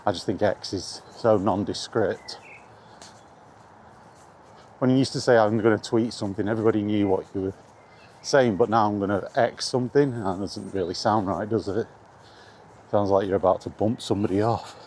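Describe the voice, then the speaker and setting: Mellow British man, casual and rambling. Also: lowish voice, fairly even intonation, moderate pace, long pauses. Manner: informal, meandering, mildly sweary. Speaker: video maker chatting about video games. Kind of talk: casual rambling vlog chat. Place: outdoors in the rain, walking. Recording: outdoor ambient noise, faint.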